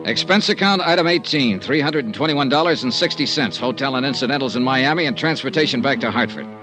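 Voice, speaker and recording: A man's voice: mid-range voice, animated, quick pace, over music, natural voice. An actor playing a hard-boiled male insurance investigator in a 1950s radio drama, talking in a clipped, sardonic way. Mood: wry report.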